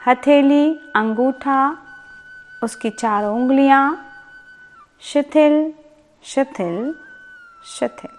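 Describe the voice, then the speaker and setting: Woman doing guided yoga sleep meditation, really slow, voice a bit high, soft music behind her. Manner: calm, soothing, deliberate instruction with pauses and repeated words. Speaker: yoga nidra meditation instructor.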